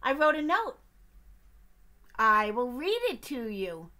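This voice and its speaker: A bright, eager little duck who chatters on and on, as a woman puts him on for a storybook read-aloud. His voice sits high and springs up and down as he pesters for attention. The tone is crisp and sunny. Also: big pitch swings, slow pace, heavily acted. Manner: bouncy, pestering, cheerful.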